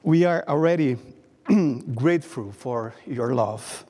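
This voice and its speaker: A man preaching a sermon in English with a Brazilian Portuguese accent, speaking carefully and pausing often as he reads from his notes. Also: medium pitch, mellow. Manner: careful, halting delivery, reading from notes. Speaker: guest preacher. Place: church sanctuary. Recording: long reverb of a church hall.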